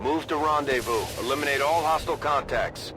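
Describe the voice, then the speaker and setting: A man playing a brisk, authoritative military officer giving mission orders in a video game, clipped and commanding. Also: on the high side, very noisy, natural voice. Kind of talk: scripted game mission briefing. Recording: loud music behind.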